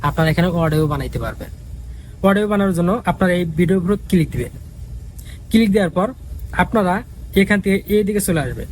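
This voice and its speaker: Fast, high-pitched man. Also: animated, a little mellow, slight background noise, rough recording. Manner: repetitive, instructional. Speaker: tutorial host. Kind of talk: casual step-by-step app tutorial.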